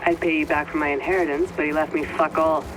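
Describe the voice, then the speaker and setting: A man's voice: on the high side, little pitch movement, fast talker, noisy background, close to an everyday voice. An actor playing a blunt, wounded younger man in a video game, casual and sardonic. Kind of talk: video game cutscene acting.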